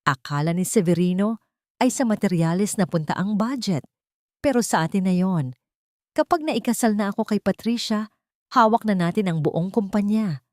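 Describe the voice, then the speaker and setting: A woman's voice: mid-range voice, lively intonation, normal speed, darkish tone, no echo, studio quality, pitched above the performer's own voice, natural voice. A woman with a Filipino accent voicing a cruel, arrogant young groom in an audiobook, contemptuous and commanding. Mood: scheming, smug.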